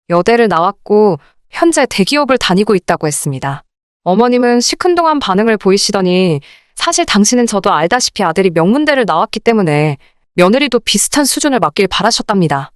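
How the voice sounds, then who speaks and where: A woman's voice: mid-range voice, clear, resonant tone, no room sound, clean studio sound. A woman reading a scripted first-person story as a radio drama narrator.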